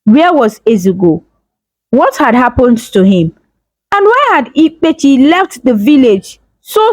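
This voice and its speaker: A woman narrates a dramatic story in a fairly high voice with very animated, swooping intonation. She sounds solemn and suspenseful, like a storyteller reading from a script. The sound is dry and close. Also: no echo, poor audio.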